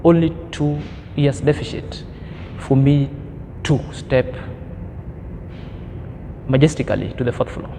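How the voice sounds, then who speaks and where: A man's voice: medium pitch, measured pace, creaky, pauses often, warm tone, big-room sound, a little hiss or hum. A man in his thirties giving an opinion commentary as a vlogger, preachy and emphatic, fond of grand words. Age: 30s.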